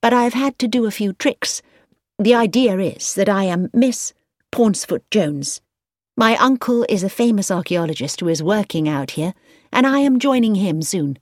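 A woman voicing a quick, playful young woman, with a lively delivery. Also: medium pitch, dry recording, studio quality, pitched above the performer's own voice, lightly acted.

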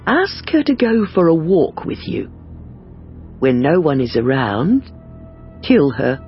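A man's voice: a little high, big pitch swings, takes it slowly, faint music behind, heavily acted. A man reading the lines of a stern wartime male resistance leader in a storybook narration, on a dull lo-fi recording. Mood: suspicious.

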